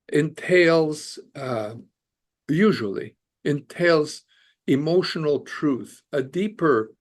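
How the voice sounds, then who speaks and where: An older man born in Canada speaks as a guest on a podcast, earnest and reflective, with a somewhat high voice. He pauses often on fillers and restarts his sentences. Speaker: immigration lawyer and author, podcast guest. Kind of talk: casual interview conversation.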